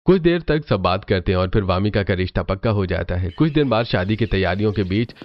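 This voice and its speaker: A man with a fairly low voice reads scripted narration for a cartoon story. He serves as the storyteller. The sound is a little dull. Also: expressive, fairly quick, dry recording.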